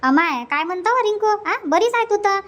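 A woman's voice: extremely high pitch, lively intonation, normal speed, fairly bright tone, dull audio. A woman voicing a chatty, teasing village aunt in a comedy cartoon, exclaiming in a Varhadi Marathi accent. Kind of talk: cartoon comedy dialogue in rural dialect.

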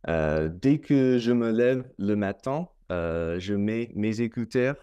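Slow, careful young Canadian man. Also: fairly low pitch, animated, dark tone, clean tone, dull audio. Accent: English-speaking Canadian. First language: English. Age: young adult. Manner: slow and careful, many hesitations. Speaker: guest, French learner. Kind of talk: casual conversation in learned French. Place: small room at home. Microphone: laptop webcam mic on video call.

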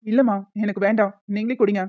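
A woman's voice: medium pitch, fairly even intonation, fast talker, no echo, lo-fi sound, natural voice. A woman voicing a sensible young adult son in a cartoon family drama, matter-of-fact and reasoning.

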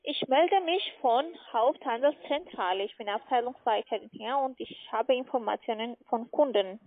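A woman speaking non-native German, giving a scripted cold-call sales pitch. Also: normal speed. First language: not German. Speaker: cold caller selling trading platforms. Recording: muffled lo-fi phone line.

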